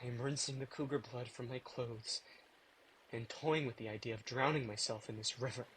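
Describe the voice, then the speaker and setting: A man's voice: medium pitch, fairly even intonation, moderate pace, a bit bright, slight background noise, lightly acted, low-quality recording. Audio drama: guilt-ridden male vampire, actor, terse, dry, mid-range voice, background ambience. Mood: bleak, wry. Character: brooding, guilt-ridden male vampire, protective and bitterly wry. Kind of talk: immersive audio drama acting.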